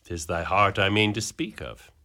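A man playing a brooding, jealous warrior husband in an amateur Shakespearean radio drama, with a slow, declamatory delivery. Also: low-pitched, creaky, sharp tone, full character voice. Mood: brooding, ominous.

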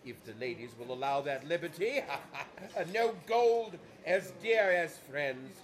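A man playing a jolly, warm-hearted older gentleman host in a radio drama, hearty and theatrical. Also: on the high side, expressive, slow pace. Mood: jubilant, festive. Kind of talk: stage-style radio drama acting.

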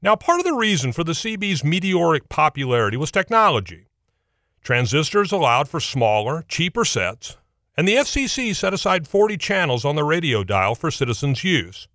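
A man's voice: on the high side, very animated, moderate pace, a bit bright, no echo, studio quality. An American man hosting a history program, delivering a scripted essay in a folksy, wry, emphatic way. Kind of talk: scripted radio essay narration.

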